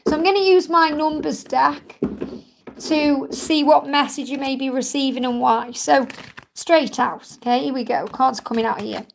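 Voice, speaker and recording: A woman with a croaky, tired voice hosting a live card-reading stream, soothing and reassuring, repeating her phrases. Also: high voice, normal speed, background noise. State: croaky, tired voice from much talking. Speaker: tarot card reader and live-stream host.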